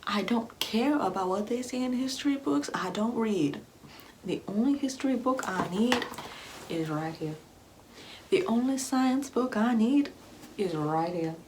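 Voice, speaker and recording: Woman acting out a slow, sanctimonious scolding Karen mother. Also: on the high side, lively intonation, a little mellow, a little hiss or hum. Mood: scolding, indignant. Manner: condescending, preachy, drawn-out emphatic phrasing with breathy whispering. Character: sanctimonious, scolding, Bible-quoting Karen mother, ignorant and righteous. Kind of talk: ASMR comedy roleplay.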